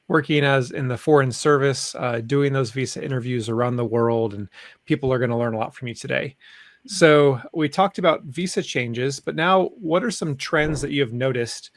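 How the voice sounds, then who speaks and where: A man's voice: medium pitch, normal speed, a bit bright, no room sound, studio quality. A man hosting an interview over a video call, greeting viewers and asking questions in an upbeat way. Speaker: host.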